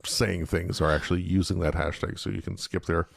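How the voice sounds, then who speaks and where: Male co-host, very low with vocal fry, conversational and halting. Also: steady tone, no room sound. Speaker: podcast co-host. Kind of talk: casual panel chat.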